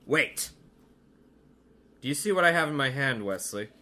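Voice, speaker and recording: A man playing a sharp-voiced scheming villain doctor. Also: medium pitch, animated, normal speed. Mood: menacing. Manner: theatrical, menacing, commanding.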